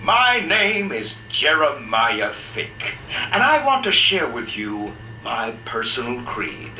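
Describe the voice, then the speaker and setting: A man's voice: medium pitch, huge pitch swings, slow pace, sharp tone, echoey room, a little hiss or hum, full character voice, rough recording. An actor playing a folksy industrialist who preaches to his workers in a showy, sermonizing voice. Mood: preachy, jovial. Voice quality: showman-like, sermonizing, chuckling. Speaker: factory boss. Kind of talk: recorded motivational speech.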